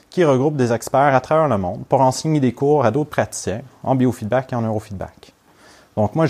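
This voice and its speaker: A young man from Montreal with a Québécois French accent opens a training course for a group of learners. He talks in an easy, chatty way at a middling pitch and stops often to check that the class follows him. English is his first language. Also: a little mellow. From Montreal, Quebec, Canada. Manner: chatty and informal, with quick laughs and "ok?" checks. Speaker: neurofeedback trainer.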